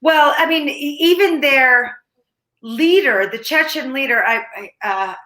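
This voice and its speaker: A woman's voice: sits high, a little slow, roomy sound. A woman film critic whose first language is Ukrainian, chatting in a casual review with laughs and frequent restarts. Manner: animated, laughing, restarts often.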